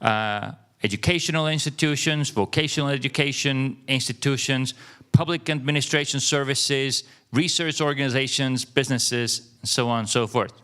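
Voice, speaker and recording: Smooth-voiced man hosting a meetup. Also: medium pitch, normal speed. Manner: relaxed, filler-laden explaining. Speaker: event organizer, host. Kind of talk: conference introduction.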